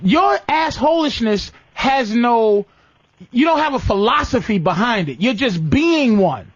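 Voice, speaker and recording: A man's voice: very high voice, very animated, unhurried, dry recording, highs cut off. An American man hosting a comedic advice show with callers, talking bluntly and profanely with a teasing edge. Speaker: talk show host.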